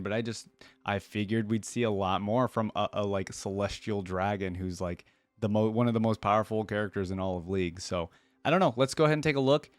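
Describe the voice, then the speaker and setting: A man's voice: fairly low pitch, dry recording. A man giving casual reaction commentary as host, thinking aloud with some hesitation. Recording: music playing behind the voice.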